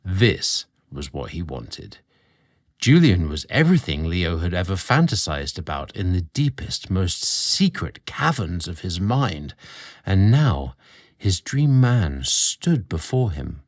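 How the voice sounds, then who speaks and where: Expressive low-voiced male story narrator. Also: slow pace. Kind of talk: scripted romantic story narration.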